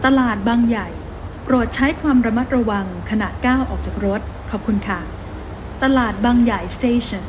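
A woman reading scripted train station announcements in a formal, measured and clearly enunciated manner. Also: on the high side. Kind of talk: scripted public transit announcement. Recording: train announcement with loud cabin noise. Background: train running noise.

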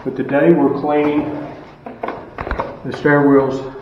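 Middle-aged custodian in an echoey stairwell. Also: male voice, a little high, completely flat delivery, takes it slowly, noisy background. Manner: plain-spoken, explains step by step, asks rhetorical questions. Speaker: school custodian. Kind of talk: casual how-to instruction. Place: school stairwell, indoors, hard walls. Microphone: camera some distance off. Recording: echoey stairwell, distant and dull.

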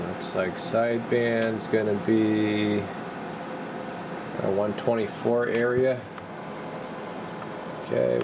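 A man calmly demonstrating and testing a radio in his workshop, talking in a relaxed, halting way as he works. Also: lowish voice, gravelly, very noisy. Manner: relaxed, halting, talks while working. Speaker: radio repair technician.

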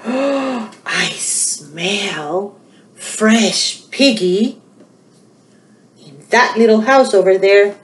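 A woman storyteller acts out a big bad wolf from a children's tale. He is hungry and sly, coaxing and menacing with slow, drawn-out words. The sound is roomy, with lively swings in pitch. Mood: hungry, scheming.

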